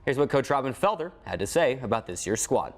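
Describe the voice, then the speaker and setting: American man hosting sports news, medium pitch. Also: big pitch swings, fast talker. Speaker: sports show host and reporter. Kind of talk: scripted sports news narration.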